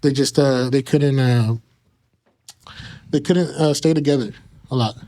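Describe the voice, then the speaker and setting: A man in his thirties with an American accent, telling his personal story casually as an interview guest, with halting phrasing and frequent pauses. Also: mid-range voice, warm tone. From Kansas and Mexico. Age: 30s.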